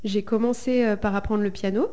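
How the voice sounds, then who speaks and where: A woman's voice: mid-range voice, fairly even intonation, brisk, dark tone, clear, resonant tone. A young woman from Germany, a singer in a folk duo, answering interview questions in a hesitant way with many fillers. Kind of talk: casual radio interview.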